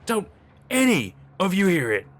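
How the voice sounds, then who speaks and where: A man's voice: fairly high pitch, big pitch swings, normal speed, fairly bright tone, pitched above the performer's own voice. A man voicing a terrified, unraveling young man. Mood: frightened pleading. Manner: frantic, pleading, screaming. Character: panicked paranoid young man hearing voices.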